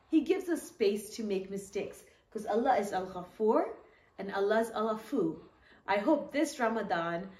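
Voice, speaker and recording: A woman storyteller reading a children's story aloud, with animated asides and questions to young listeners. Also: medium pitch. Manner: animated, asks listeners questions, acts out short quotes. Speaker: children's storyteller reading her own book. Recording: echoey room.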